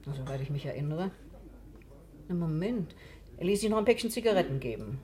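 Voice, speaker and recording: An actress playing a chatty, plain-spoken roadside café worker in a 1960s German radio crime drama. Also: female voice, low-pitched, lively intonation, fairly quick, warm tone. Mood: recalling. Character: chatty, saddened roadside café serving woman. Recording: busy café chatter behind voice. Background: café crowd chatter.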